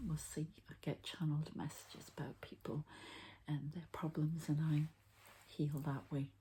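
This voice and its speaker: A woman speaks in a very low, mellow register, mostly in a soft, breathy whisper. She murmurs slowly and haltingly in the manner of an ASMR healer guiding a session. A faint noise sits behind her voice. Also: low-quality recording. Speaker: energy healer presenting her own channel. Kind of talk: whispered ASMR healing narration.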